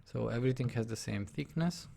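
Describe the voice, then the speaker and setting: Male tutor with a Hungarian accent, gravelly, middle pitch; patient step-by-step narration at a desktop mic. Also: brisk, dark tone, slight background noise. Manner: narrates his on-screen steps, often checks the viewer follows. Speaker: drawing tutor. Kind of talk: live software drawing tutorial.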